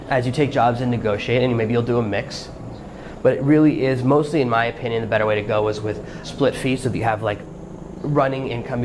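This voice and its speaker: A man's voice: medium pitch, background noise. A man hosting a solo podcast, talking through practical steps in a plain, coaching way. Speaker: podcast host. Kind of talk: solo podcast advice talk.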